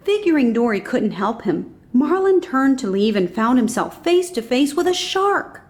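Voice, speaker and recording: A woman's voice: sits high, big pitch swings, moderate pace. A woman reading a children's storybook aloud as scripted narration.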